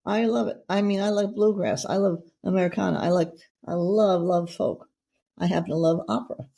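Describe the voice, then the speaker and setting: A woman's voice: on the low side, fairly even intonation, a little fast, creaky, mellow. A middle-aged woman hosting a casual interview, chatty and effusive, rambling from one thought to the next. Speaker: podcast host.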